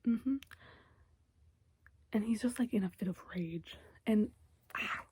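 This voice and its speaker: A young woman recaps a show in a close, soft whisper. Her voice is very breathy and mellow, and the sound is dry with no room around it. She rambles casually and fills gaps with "like" and "um". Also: medium pitch, takes it slowly, no room sound. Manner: soft whisper, chatty with many fillers. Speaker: TV episode recapper.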